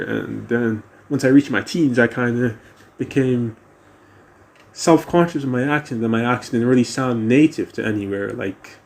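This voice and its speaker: A teenage boy vlogging alone, his accent a blend of American and British that settles on neither. He speaks at an ordinary middle pitch and muses aloud, circling back, restarting phrases and leaning on fillers as he thinks. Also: creaky. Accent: mixed American and British. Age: teens. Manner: hesitant, many restarts and fillers, musing. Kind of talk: casual rambling monologue.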